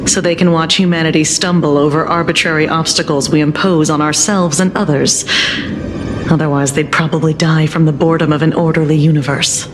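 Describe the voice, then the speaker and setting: An actress playing a jaded, coolly ruthless official in a video game, speaking in a dry, matter-of-fact, cynical way. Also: female voice, sits low, steady tone, fairly quick, background noise, close to an everyday voice. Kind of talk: dramatic video game voice acting. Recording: loud music under the voice.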